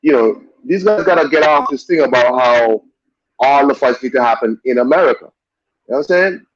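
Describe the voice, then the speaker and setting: A man's voice: mid-range voice, normal speed, no room sound. A man co-hosting a casual boxing talk show, arguing his opinions bluntly and with emphasis. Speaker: podcast co-host. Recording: muffled dry audio.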